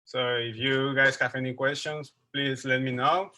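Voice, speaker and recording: A man's voice: medium pitch, level tone, bright, forceful tone. A young man with a Mexican Spanish accent, giving a casual slideshow talk over a video call and often restarting his sentences. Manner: halting, restarts often, informal. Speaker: presenter. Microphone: computer mic on a video call.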